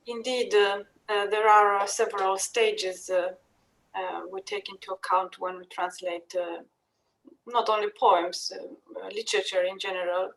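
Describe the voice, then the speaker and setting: Halting, creaky-voiced woman, very slow. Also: mid-range voice, fairly even intonation, a bit bright, poor audio. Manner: halting, hesitant delivery with many pauses. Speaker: poetry translator. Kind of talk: panel discussion, explaining working method.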